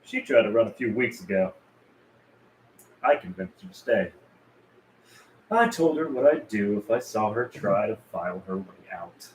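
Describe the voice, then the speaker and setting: A man's voice: lowish voice, animated, moderate pace, long pauses, natural voice. A man playing a cruel, menacing henchman with feigned politeness at a script table read. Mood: smug menace. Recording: roomy echo with clear background noise.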